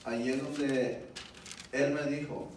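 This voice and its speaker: A man's voice: mid-range voice, little pitch movement, takes it slowly. Echoey, distant man giving testimony in Spanish. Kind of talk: personal testimony speech at a public event. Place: indoor event room, heard from far off. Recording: distant mic, very echoey room, faint noise.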